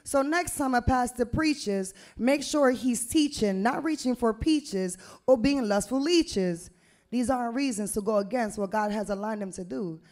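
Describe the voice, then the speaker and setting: A young woman recites spoken word poetry at an open mic. Her voice sits high for a woman, and she shapes each phrase deliberately, leaning on key words with a rhythmic emphasis. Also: darkish tone. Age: young adult. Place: small indoor venue stage with brick wall. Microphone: handheld-type vocal mic on a stand, close to the mouth.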